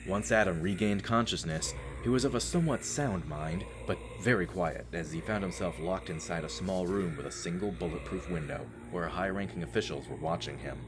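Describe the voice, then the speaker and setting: A man's voice: low voice, brisk, hardly any pauses, noisy background. A man narrating an audio drama as a storyteller, with a wry, informal turn of phrase.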